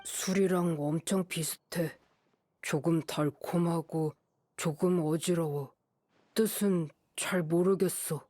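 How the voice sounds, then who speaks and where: A woman voicing a reserved, earnest wild boy with halting, simple speech in an anime game. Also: lowish voice, steady tone, warm tone, no echo. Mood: puzzled. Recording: music faintly behind the voice.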